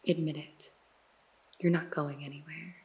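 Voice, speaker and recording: A woman voices a teasing noir detective who interrogates in soft, close whispers. She takes her time, and her voice sits a little low. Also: level tone, unhurried. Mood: teasing, probing. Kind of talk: ASMR noir roleplay.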